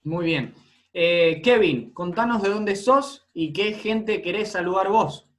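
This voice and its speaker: A man's voice: sits high, takes it slowly, fairly bright tone. Young Argentine male host. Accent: Argentine Spanish. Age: young adult. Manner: friendly, addresses listeners, hesitant fillers. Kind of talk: hosting a church lesson program.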